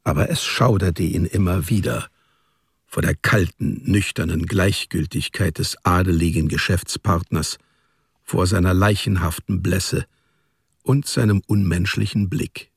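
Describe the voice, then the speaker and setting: A male narrator reads scripted literary prose for a radio drama. His voice is deep, creaky and husky. The sound is close and dry. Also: steady tone, a little slow, dry recording. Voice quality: gravelly, husky.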